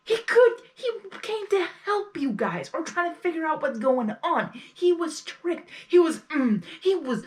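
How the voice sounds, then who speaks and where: A woman's voice: very high-pitched, very animated, unhurried, few pauses. A woman giving casual live reaction commentary as host of a reaction video.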